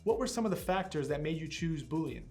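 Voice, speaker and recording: A man with a fairly high voice hosts an interview, reading his questions in an upbeat, friendly manner. Music plays clearly beneath his voice throughout. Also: animated, fast talker, darkish tone. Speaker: interviewer for the academy. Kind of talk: scripted interview host.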